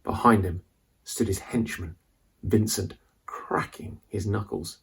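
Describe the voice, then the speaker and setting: A man reading a children's adventure story aloud as a dramatic, suspenseful narrator with deliberate delivery. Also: on the low side, steady tone, gravelly, husky.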